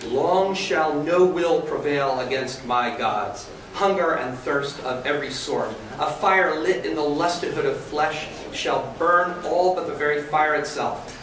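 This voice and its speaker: A man plays a proud, defiant pagan priest in a verse drama. His somewhat high voice declaims archaic lines, heard from a distance in a heavily echoing room with faint noise. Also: a little slow, few pauses, a bit bright. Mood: solemn, proud. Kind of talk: staged dramatic reading. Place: hotel conference room, indoors. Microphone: camera across the room.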